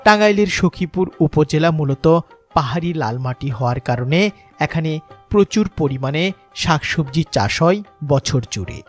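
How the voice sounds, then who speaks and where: A Bangladeshi man reading scripted, sentimental documentary narration in a measured, lyrical way. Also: fairly high pitch, animated. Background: background music.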